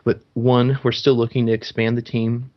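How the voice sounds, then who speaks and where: A man hosting a gaming podcast talks in a loose, chatty way, filling pauses with ums and restarting his sentences. His voice sits at an everyday middle pitch. The recording sounds dull and lo-fi. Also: flat delivery. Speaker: podcast host. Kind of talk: casual gaming podcast chat.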